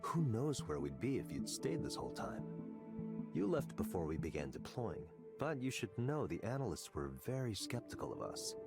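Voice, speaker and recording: A man playing a controlling, condescending tech company boss in a video game, speaking in a measured, smug, probing way. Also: on the low side, big pitch swings, creaky. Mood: probing, self-assured. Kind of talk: scripted video game dialogue. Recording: loud background music.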